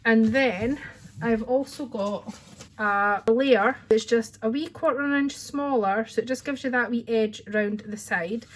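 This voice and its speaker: Chatty Scottish woman, bright voice. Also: fairly high pitch, measured pace. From Scotland. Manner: chatty, friendly, says "wee" often. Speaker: card-making tutor. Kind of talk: casual craft tutorial.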